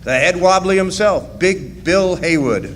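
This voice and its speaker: A man playing a plainspoken, wry miner who tells his story in a live radio drama, with a folksy and deliberate delivery. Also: sits high. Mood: hesitant, amiable. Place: live performance in a resort hall. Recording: echoey hall stage, faint noise.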